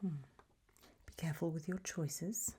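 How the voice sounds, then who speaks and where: A woman doing a whispered ASMR roleplay as an examiner, hushed and deliberate, pausing between short phrases. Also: deep voice, steady tone, slight background noise. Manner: slow, deliberate, softly instructive with long pauses between options.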